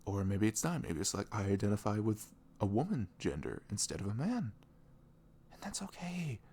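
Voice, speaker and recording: A man playing a sweet, caring boyfriend in an intimate ASMR roleplay, speaking softly and tenderly in a velvety, often breathy voice with earnest, rambling reassurance. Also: on the low side, animated, normal speed, a little hiss or hum. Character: sweet, caring, devoted young boyfriend talking in bed.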